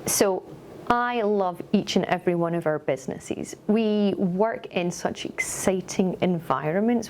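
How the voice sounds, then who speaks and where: A woman's voice: fairly low pitch, darkish tone, slight background noise. A middle-aged woman with a Scottish accent, an upbeat and articulate company executive answering questions in a corporate interview.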